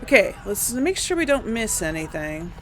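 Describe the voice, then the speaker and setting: A woman with a creaky, medium-pitched voice chats through her gameplay. She thinks aloud casually to her audience, with game music clearly behind her. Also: big pitch swings, moderate pace, poor audio. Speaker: let's player.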